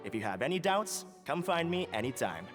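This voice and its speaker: A man playing a courteous, reassuring academy official in a video game, polite and measured. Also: medium pitch, big pitch swings, natural voice. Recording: clear music under the voice.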